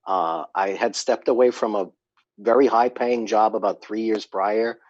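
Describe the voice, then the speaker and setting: A man guest on a casual podcast, telling stories in an animated way and acting out what people said. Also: fairly low pitch, unhurried, no echo, dull audio. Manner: animated storyteller, acts out quotes. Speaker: guest, company founder.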